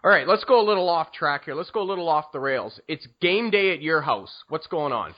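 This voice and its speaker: A young man hosting a podcast, asking joking questions in a lively, casual way. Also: fairly high pitch, fairly quick. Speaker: podcast host. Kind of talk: casual chat. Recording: dull lo-fi sound.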